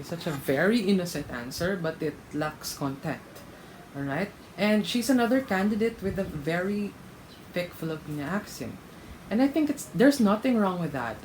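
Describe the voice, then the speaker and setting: A man's voice: high voice, slight background noise, low-quality recording. A man commenting casually as a reaction vlogger, frank and laughing between remarks. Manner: chatty, laughs mid-sentence, frank critique. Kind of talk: casual commentary, rating contestants.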